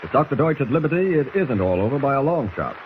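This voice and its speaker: A man's voice: mid-range voice, sing-song, fast talker. A man narrating a 1940s radio serial in a theatrical, suspenseful way. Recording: muffled, slightly noisy 1940s radio recording.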